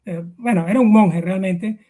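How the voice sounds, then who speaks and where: High-voiced middle-aged Venezuelan man. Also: moderate pace, dark tone. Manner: hesitant, with many filler words and repetitions. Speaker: interview guest. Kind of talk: informal explanatory conversation.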